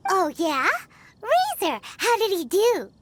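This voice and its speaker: A woman's voice: very high-pitched, huge pitch swings, slow pace, slight background noise, exaggerated voice. A woman voicing a tiny, childlike, cheeky fairy sidekick in a cartoonish video game style. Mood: cheeky, curious. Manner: talks about self in third person, exclamatory. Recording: loud music under the voice.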